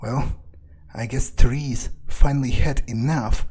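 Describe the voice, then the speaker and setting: A man's voice: mid-range voice, steady tone, measured pace, mellow, slightly rough. A man doing in-character video game commentary, thinking aloud in a blunt, muttering, profane way. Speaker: video game player role-playing his character. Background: game music.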